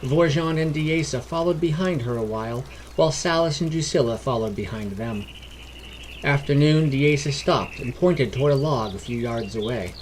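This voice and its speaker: A man's voice: mid-range voice, normal speed, slight background noise, rough recording. A man hosting and narrating a scripted fantasy adventure podcast. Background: background music.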